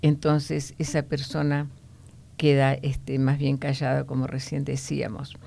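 Low, gravelly woman teaching the Bible. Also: completely flat delivery, dark tone. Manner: explanatory, often ending points with a questioning "no?"; unhurried, with frequent hesitation fillers.

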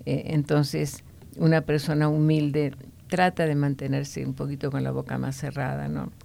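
Low, gravelly woman teaching the Bible. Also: level tone, warm tone. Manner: explanatory, often ending points with a questioning "no?"; unhurried, with frequent hesitation fillers.

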